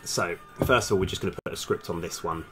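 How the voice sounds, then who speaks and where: A man's voice: low-pitched, quick pace, a bit bright, soft background music. A man thinking aloud in a casual, hesitant, self-deprecating way while live streaming as a game developer.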